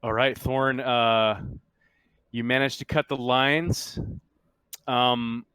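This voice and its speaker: A man working as a game master, casually narrating scenes and explaining rules in a halting way as he searches for words. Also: mid-range voice, steady tone, fairly bright tone, clean tone, no room sound.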